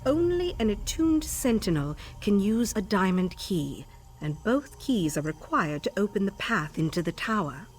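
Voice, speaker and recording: A woman's voice: mid-range voice, big pitch swings, unhurried, slight background noise. An actress playing a stern, scholarly female elven sage in a video game, speaking formally and imperiously. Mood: formal, explanatory. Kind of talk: video game character dialogue.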